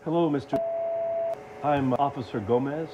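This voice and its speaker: A man's voice: medium pitch, moderate pace, close to an everyday voice. A man playing a polite, formal police officer conducting an interview in a scripted analog horror recording. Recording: muffled lo-fi tape with loud noise.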